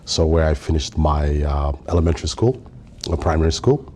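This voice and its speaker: A man's voice: rumbling low, lively intonation, moderate pace, a little mellow. A man from Somalia, a native Somali speaker, telling his life story as a podcast guest, with many fillers and easy laughs. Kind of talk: casual interview conversation.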